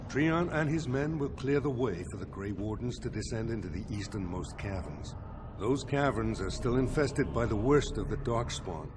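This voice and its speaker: An actor playing an authoritative older dwarven lord in a video game, formal and measured as a military planner. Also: male voice, animated, natural voice. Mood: commanding. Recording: noisy rumbling ambience behind.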